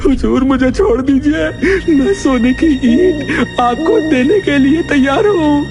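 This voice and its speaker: A man voicing a frightened thief in a cartoon, pleading and wailing in a high voice. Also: animated, takes it slowly, over music, full character voice. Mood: terrified begging. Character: panicked pleading male palace thief, frightened and weepy.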